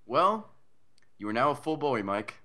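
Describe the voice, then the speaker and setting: A man's voice: mid-range voice, very animated, unhurried, pushed and bright. A man co-hosting a podcast, chatting casually with crude, teasing interjections and bits of playful acting. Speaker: podcast co-host. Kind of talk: casual joking chat with brief acted lines.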